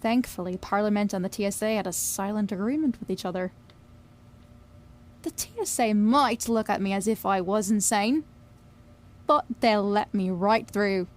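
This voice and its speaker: A woman's voice: medium pitch, moderate pace, lightly acted. An actress playing a brash, wistful young woman in an audio drama, confiding and wry, with plenty of swearing. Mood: wry, longing. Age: young adult. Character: Billie, brash, wistful young Scottish woman obsessed with fairies. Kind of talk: audio drama narration and dialogue.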